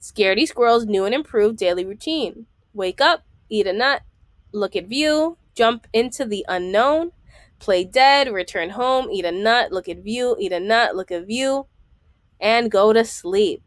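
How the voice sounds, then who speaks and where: A woman's voice: mid-range voice, animated, a little slow, dry recording, clean studio sound. A woman reading a children's book aloud, enunciating item by item and adding explanatory asides.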